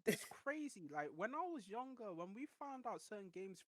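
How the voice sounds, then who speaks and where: A man whose voice comes across high on tape talks as a podcast guest, rambling and animated with lots of restarts. The sound is dry, with no room around it. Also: normal speed, dry recording. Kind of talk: casual chat.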